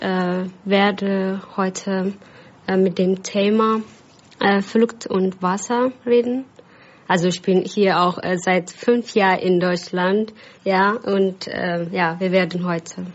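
A woman's voice: mid-range voice, little pitch movement, takes it slowly. A woman presenting on the radio, very hesitant and searching for her words. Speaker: guest presenter. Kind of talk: casual radio talk. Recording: dull lo-fi sound with faint background.

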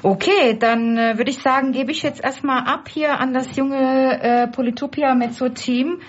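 A woman's voice: high voice, steady tone, moderate pace. Hesitant woman hosting a radio show. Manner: hesitant, many fillers. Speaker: radio host. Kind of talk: casual radio moderation. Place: small radio studio. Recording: dull lo-fi radio sound.

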